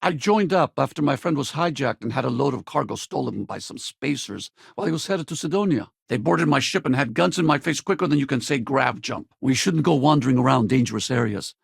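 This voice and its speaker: An easygoing male space pilot companion for a video game. His voice is husky and heavily creaky, recorded dry and close. He talks casually, loyal and wry, like someone used to a fight. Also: medium pitch, animated, brisk, few pauses, dry recording, full character voice. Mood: earnest. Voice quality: gravelly, husky. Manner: casual, colloquial, conversational.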